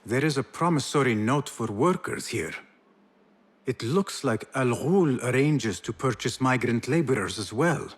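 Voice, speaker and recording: An actor playing an earnest, protective young agent in a video game, with formal phrasing. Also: male voice, medium pitch, animated, measured pace, husky. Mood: matter-of-fact. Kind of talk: video game cutscene dialogue.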